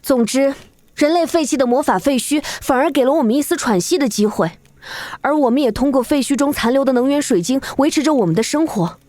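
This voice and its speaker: A woman voicing a dignified, world-weary female chief of a beast-folk tribe in an animated fantasy, measured and grave. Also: sits high, no echo. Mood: weary, resolute. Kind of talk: animated fantasy drama acting.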